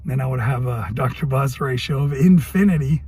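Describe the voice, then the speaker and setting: A man with a cold and a hoarse voice, rambling through a casual video diary and thinking aloud as he goes. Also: mid-range voice, a little fast, darkish tone. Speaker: health vlogger.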